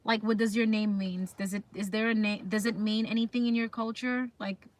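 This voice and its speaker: Woman, livestream host, casual chat, inquisitive, addressing viewers, medium pitch, dry sound. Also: fairly even intonation, a little fast, dry recording. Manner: chatty, reads comments aloud and puts questions to viewers.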